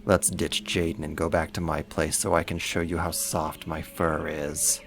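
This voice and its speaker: A man playing a deep, gravelly, cocky werewolf. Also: little pitch movement, moderate pace, a bit bright, heavily acted. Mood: boastful, coaxing. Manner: smug, taunting, flirtatious. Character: big cocky possessive male werewolf, smitten and boastful. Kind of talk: roleplay audio drama acting.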